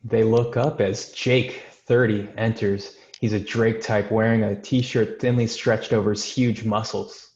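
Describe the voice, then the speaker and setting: A man's voice: lowish voice, flat delivery, unhurried, few pauses, a little mellow. A man narrating a screenplay's stage directions in a scripted table-read style. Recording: roomy, dull remote call audio.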